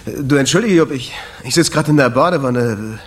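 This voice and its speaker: A man's voice: medium pitch, expressive, moderate pace, slight background noise, close to an everyday voice. A man playing a harried, irritable jazz club owner in a German radio drama, impatient, curt and nervy. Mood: nervous, pleading.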